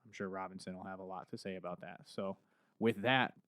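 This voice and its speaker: A man's voice: sits low, steady tone, fairly quick, a bit bright, no room sound. A man hosting a podcast, upbeat and joking, who introduces guests fluently with a few fillers, recorded in a university podcast studio. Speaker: podcast host. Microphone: podcast mic.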